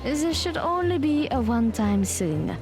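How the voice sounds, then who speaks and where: A teasing, encouraging teenage schoolgirl voiced by a woman in an audio drama. She talks slowly and playfully in a very high, animated voice, with music clearly behind her. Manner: teasing, coaxing.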